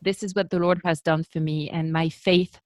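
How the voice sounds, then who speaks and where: A woman's voice: on the low side, fairly even intonation, normal speed, no echo, studio quality. A woman in her forties from France with a French accent, a podcast guest talking earnestly and poking fun at herself, with plenty of ums and you knows. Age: 40s. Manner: earnest, self-deprecating, fillers like um and you know. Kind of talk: casual interview chat.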